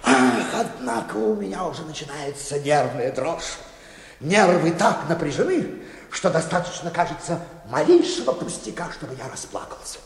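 Swooping, pompous bank director. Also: male voice, fairly high pitch, very animated, moderate pace. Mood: nervy, weary. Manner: pompous, theatrical. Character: vain, pompous, nervy male bank director. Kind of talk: theatrical radio play acting. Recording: echoey hall, long reverb.

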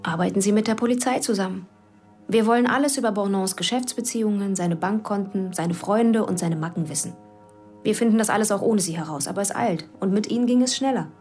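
A woman's voice: mid-range voice, fairly quick, a little mellow, close to an everyday voice. Woman doing a cool cop lady, terse, sizing people up, radio play, faint music under. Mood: cool, pressing. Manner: terse, with whispered inner asides. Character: cool, calculating female police investigator. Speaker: police investigator.

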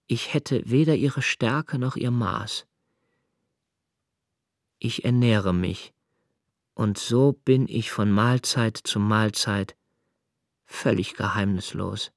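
An actor playing a brooding, solitary diarist in a radio play, with a measured, introspective delivery and an anguished, searching temper. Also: male voice, fairly low pitch, creaky, no room sound, clean studio sound. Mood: self-questioning. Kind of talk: literary monologue in a radio play.

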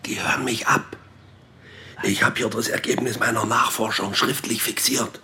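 A furtive, sharp-voiced male informant. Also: medium pitch, fairly even intonation, moderate pace, whispered. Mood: secretive. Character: furtive male informant afraid of being bugged. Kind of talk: German radio play dialogue.

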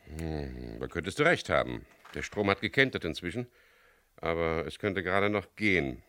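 A man's voice: low-pitched, lively intonation, normal speed, sharp tone. An actor playing a tough, wry boat captain who narrates his own adventure tersely in a 1970s German radio crime drama. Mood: resolved, calm.

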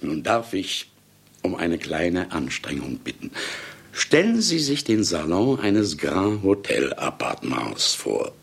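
Radio drama lead: brash boozy male novelist, sardonic and theatrical, husky, slow and savouring, widely swooping delivery. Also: sits low, very animated, exaggerated voice. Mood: sly, ironic. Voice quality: gravelly, husky. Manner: sly, chuckling, ceremonious asides with drawn-out words. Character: brash, hard-drinking, celebrated male novelist, sardonic and self-mocking. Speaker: narrator addressing the audience. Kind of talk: theatrical radio play monologue.

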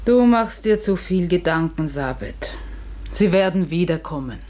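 A caring woman in a radio drama, gently consoling someone. Her delivery is slow and measured, yet the pitch moves expressively up and down. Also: lively intonation. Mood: soothing. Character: tender, concerned human woman consoling a friend. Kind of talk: German radio play acting.

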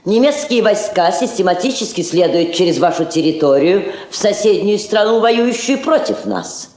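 An actress plays a woman diplomat of steely formality and dry sarcasm. Her mid-pitched voice declaims with lively intonation. The recording has the room echo of a vintage radio drama. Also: normal speed, close to an everyday voice. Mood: stern protest. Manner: formal, declamatory, drily ironic. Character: firm, sardonic woman Soviet ambassador. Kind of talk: Soviet stage radio drama acting.

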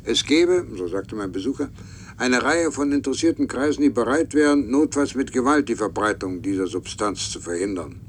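A male actor plays a statesman narrating an official account in a radio drama. His voice is middle-pitched and formal, with a faint rumble behind it. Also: lively intonation, moderate pace, natural voice. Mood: formal, recounting.

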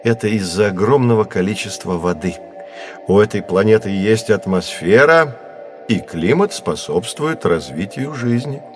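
A man playing a patient, learned astronomer who guides children in an educational audio drama, measured and didactic. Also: fairly low pitch, expressive, faint music behind. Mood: gently teasing. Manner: measured, didactic, clearly enunciated.

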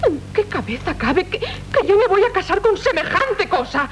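A woman's voice: sing-song, normal speed, faint music behind. An actress playing a proud, impetuous young noblewoman of medieval Castile in theatrical radio drama, with a Castilian Spanish accent. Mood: scornful, indignant. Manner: haughty, impetuous, melodramatic. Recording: noisy, rumbling 1970s radio recording.

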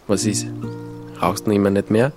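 Actor as folksy Alpine countryman, Austrian accent, lowish voice, nosy, teasing, homespun philosopher, audio drama. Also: little pitch movement, normal speed, music in the background, lightly acted. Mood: philosophising. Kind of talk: audio drama dialogue acting.

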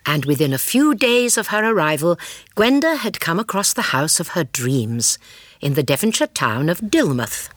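An actress playing a kindly, shrewd elderly English spinster, telling her story in a radio drama with a husky voice. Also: low-pitched, very animated, moderate pace, dry recording, clean studio sound. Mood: measured storytelling. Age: older. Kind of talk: radio drama narration and dialogue.

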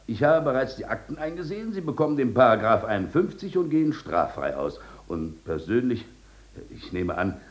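A man playing a smooth, evasive lawyer in a 1950s German radio drama, formal and patronising. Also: medium pitch, sing-song, normal speed, natural voice. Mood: soothing, condescending. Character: smooth, evasive, condescending male lawyer soothing his client.

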